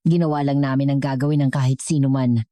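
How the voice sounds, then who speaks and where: Lady doing a gentle middle-aged mom in a story, humble and earnest, low mellow voice. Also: steady tone, fast, no echo, clean studio sound. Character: gentle, humble middle-aged woman, loving mother and wife. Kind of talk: scripted storybook dialogue.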